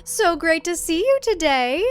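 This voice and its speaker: A woman voicing an eerily cheerful, brainwashed young woman in a cult thriller story, bright and unperturbed. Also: very high voice, sing-song, a little slow, voice pushed up, heavily acted. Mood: cheerful, serene.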